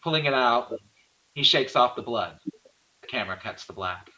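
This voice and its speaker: A man narrates a screenplay, reading out action and scene headings. His voice is mid-pitched, bright and forceful. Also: animated, normal speed, rough recording. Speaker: narrator reading stage directions. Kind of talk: scripted narration of horror screenplay.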